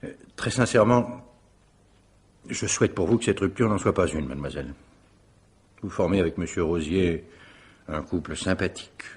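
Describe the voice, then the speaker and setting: A shrewd male investigator in a radio mystery. His voice is low and husky. He is courteous and formal, yet keeps probing with dry insistence. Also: moderate pace, pauses often. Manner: polite, probing, dryly insistent. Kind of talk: French radio mystery drama acting.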